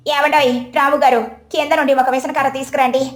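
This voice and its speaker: A woman's voice: sits high, flat delivery, brisk, roomy sound, faint music behind, natural voice. A woman voicing a proud, complaining village daughter-in-law in a moral story, full of exclamations. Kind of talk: moral story character acting.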